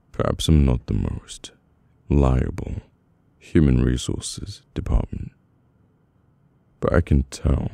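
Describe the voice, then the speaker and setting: An extremely deep, gravelly British mafia boss, acted by a man for an audio roleplay. He is cold, dominant and menacing, giving orders slowly with long deliberate pauses. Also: warm tone, no echo, heavily acted. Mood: suspicious, brooding. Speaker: voice actor. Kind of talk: ASMR audio roleplay, slow dramatic monologue.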